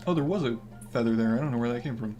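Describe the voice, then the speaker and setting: A young man with a naturally hoarse voice, casually commenting on a game he plays while reading and answering his chat. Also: rapid-fire, dark tone, faint music behind. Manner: low-key, mumbling, encouraging. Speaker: game streamer.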